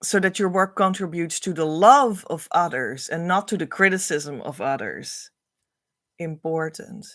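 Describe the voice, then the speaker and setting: A woman whose first language is Dutch, speaking English with a Dutch accent, teaches spiritual values on a livestream in an earnest, repetitive, flowing way with soft trailing phrase ends. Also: fairly low pitch, lively intonation, a little slow, dry recording, clean studio sound. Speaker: spiritual coach.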